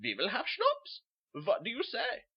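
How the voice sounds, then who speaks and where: A man's voice: extremely high pitch, wildly swooping pitch, measured pace, husky, voice pushed up, heavily acted. A man with a put-on German accent playing a hearty, boastful butcher in a horror story, jovial and expansive. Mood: jovial, inviting. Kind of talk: theatrical horror story acting. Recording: dull lo-fi home recording.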